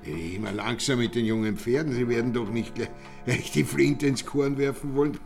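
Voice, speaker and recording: An actor playing a chatty, pompous, fussy village count in a radio play, with an Austrian accent and hesitant repetitions. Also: male voice, medium pitch, moderate pace, gravelly, over music, natural voice. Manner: affable, pompous, repeats and hesitates.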